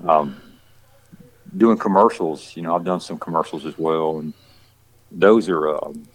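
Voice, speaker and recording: Deep-voiced man with Southern country accent. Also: measured pace, poor audio. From Georgia, USA. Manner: rambling, repeats words and restarts, says "you know" often. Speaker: actor guest. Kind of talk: casual podcast chat.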